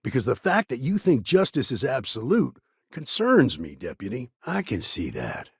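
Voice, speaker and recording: Gravelly, world-weary space ranger. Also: male voice, medium pitch, huge pitch swings, normal speed, no echo, clean studio sound, exaggerated voice. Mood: measured, wary. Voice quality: gravelly, husky. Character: world-weary, guarded lawman ranger in a frontier space city. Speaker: video game voice actor. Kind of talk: game dialogue lines.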